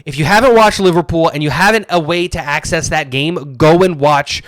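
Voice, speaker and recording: Animated, opinionated man, fairly high voice. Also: no echo. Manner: animated, opinionated, fast bursts with many fillers. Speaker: podcast co-host. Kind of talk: casual sports podcast chat.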